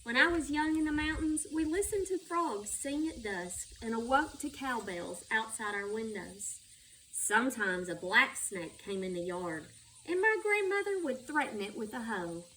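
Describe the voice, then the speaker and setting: A woman's voice: a little high, lively intonation, normal speed, sharp tone. A woman reading a children's story aloud. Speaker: school superintendent reading a children's book. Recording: distant microphone with background noise.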